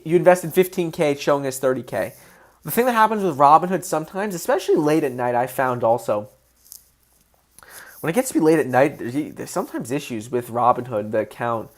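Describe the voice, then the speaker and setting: A man hosting a casual livestream, excitable and answering viewers' chat questions as he goes. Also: a little high. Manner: excitable, voice rises when passionate.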